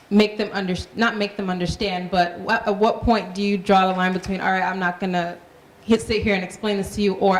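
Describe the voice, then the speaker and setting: A woman from the audience asking a long question, searching for her words and restarting. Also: medium pitch.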